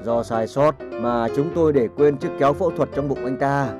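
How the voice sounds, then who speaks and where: A man playing a calm, formal, blundering surgeon in a comic cartoon. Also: medium pitch, normal speed, music in the background, close to an everyday voice. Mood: matter-of-fact. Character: calm, matter-of-fact male surgeon, blundering but formal.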